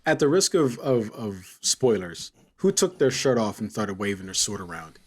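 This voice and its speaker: A man's voice: lowish voice, expressive, moderate pace, a little mellow. A man chatting casually as a podcast co-host, jokey and playfully dramatic. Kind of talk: casual chat.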